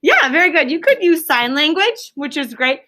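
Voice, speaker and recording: A woman's voice: high-pitched, sing-song, moderate pace, bright, forceful tone. A woman teaching an elementary class, quizzing pupils in a firm, directive manner. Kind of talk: classroom lesson with question and answer.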